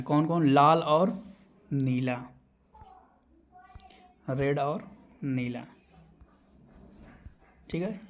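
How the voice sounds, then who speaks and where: A male exam coach with a fairly high-pitched voice. He explains quiz answers in an instructional way. Key terms get repeated so that students can memorise them.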